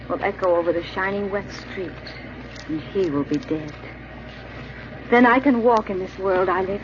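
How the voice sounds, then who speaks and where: A woman playing a haunted, genteel young French beauty in a 1940s radio drama, with refined, earnest phrasing and dramatic pauses. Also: medium pitch, lightly acted. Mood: grim. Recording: muffled, hissy old radio recording.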